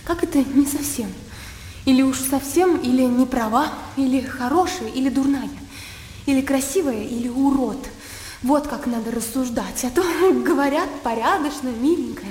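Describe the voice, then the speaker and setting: An actress playing a blunt, spirited young country noblewoman in a radio drama, animated and outspoken. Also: sits high, normal speed, echoey room, big-room sound, slight background noise, close to an everyday voice. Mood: impatient, emphatic.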